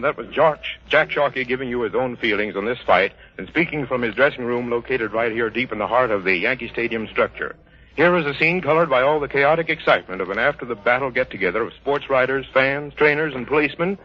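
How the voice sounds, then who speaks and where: A man reports in the formal manner of 1930s live radio. His phrasing is florid and his pitch sits in the middle. The recording is dull and band-limited. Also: animated, fast, husky. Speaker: dressing-room radio reporter. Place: indoor stadium dressing room.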